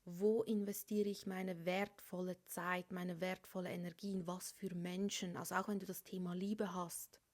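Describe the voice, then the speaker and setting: A woman giving a tarot reading for a star sign, speaking softly and often dropping into a whisper over the cards. She has a cold. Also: lowish voice, little pitch movement, moderate pace, few pauses. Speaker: tarot reader.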